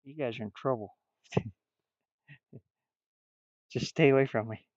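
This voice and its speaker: A man chatting casually on a livestream while drawing, rambling and muttering to himself, with bursts of loudness. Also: medium pitch, fairly even intonation, unhurried, no room sound, lo-fi sound. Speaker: streamer drawing digital art.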